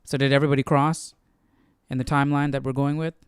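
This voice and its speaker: Male livestream study host: smooth, clear, mid-pitched, casual, questioning, very dry sound. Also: level tone, fast, dry recording. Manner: questioning, thinking aloud. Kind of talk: casual commentary and reading aloud.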